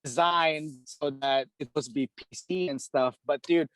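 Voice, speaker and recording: Guest, male, from the Philippines, slightly high voice, loose rambling talker, dry close mic. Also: a little slow, a bit bright, no echo. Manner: rambling, many fillers like "dude" and "you know". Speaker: guest, hockey equipment repair shop owner. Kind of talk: casual podcast chat.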